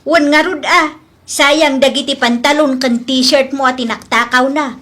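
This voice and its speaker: A woman's voice: sits high, steady tone, measured pace, fairly bright tone. A woman playing a gossipy, exclaiming wife in a comedy audio drama. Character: high-voiced gossiping wife.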